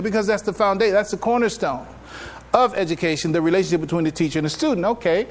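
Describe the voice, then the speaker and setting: A man speaking as an imam in an informal public religious lecture, emphatic and repetitive, often checking in with his listeners. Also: high-pitched. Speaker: imam giving a public address. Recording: live address with clear background noise.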